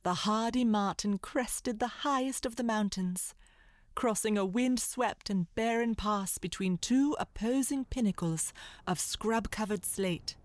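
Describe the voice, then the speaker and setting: A woman's voice: medium pitch, unhurried. A woman giving scripted storybook narration as the narrator of an audio drama. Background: loud music.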